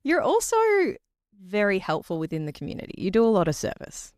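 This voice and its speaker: Low-pitched woman interviewing, dry sound. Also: very animated, fairly quick, no room sound. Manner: warm, encouraging questioning with soft murmurs. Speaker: podcast host. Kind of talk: interview host.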